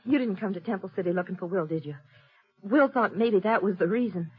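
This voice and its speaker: Actress as a husky, pleading frontier wife. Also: female voice, mid-range voice, fairly quick, lightly acted. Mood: worried, insistent. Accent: American. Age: young adult. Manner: earnest, emotional, pleading. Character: anxious, pleading young pregnant frontier wife. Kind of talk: 1950s radio western acting. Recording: dry, tinny old radio recording.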